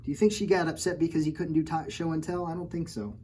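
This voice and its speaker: Woman librarian, storytime reader, mellow tone, playful teasing asides. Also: sits low, steady tone, fast, slightly rough. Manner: playful, teasing, stops often to point out pictures. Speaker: children's librarian. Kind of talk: storytime read-aloud with chatty asides.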